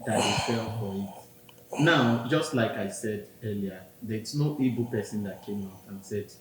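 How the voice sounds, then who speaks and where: A Nigerian man on a podcast, earnestly explaining his view with personal examples. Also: a little slow. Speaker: podcast co-host. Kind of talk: casual discussion. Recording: very echoey room, faint rumble.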